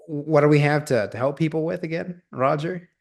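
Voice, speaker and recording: A man's voice: on the high side, normal speed, gravelly. Friendly male podcast host. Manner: friendly, affirming, calls guest brother. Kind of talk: casual interview talk.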